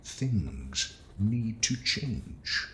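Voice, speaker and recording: A man plays a fanatical male scientist villain in an audio drama. His voice is deep and creaky, with a cold, deliberate menace. Faint noise sits behind the recording. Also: very animated, a bit bright, heavily acted. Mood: bitter, grandiose. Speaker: voice actor. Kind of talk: audio drama monologue and dialogue.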